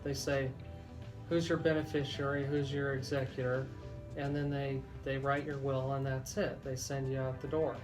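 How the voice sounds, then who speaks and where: A man hosting a legal talk radio show, explaining in a deliberate way as a lawyer. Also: medium pitch, level tone. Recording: music bed under the voice. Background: music.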